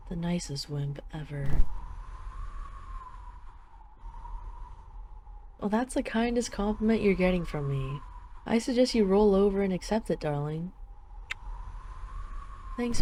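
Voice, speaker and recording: Woman playing a mellow, tender dragon queen. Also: on the low side, slight background noise, close to an everyday voice. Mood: teasing, fond. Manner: affectionate, gently teasing, wistful. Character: dragon queen, tender, teasing, possessive lover. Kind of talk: intimate roleplay audio drama, slow and soft.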